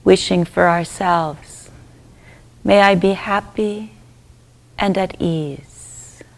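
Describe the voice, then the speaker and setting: A woman leading a guided meditation as a teacher, speaking gently and softly in short phrases with long pauses and breathy endings. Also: fairly low pitch, steady tone. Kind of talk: guided loving-kindness meditation.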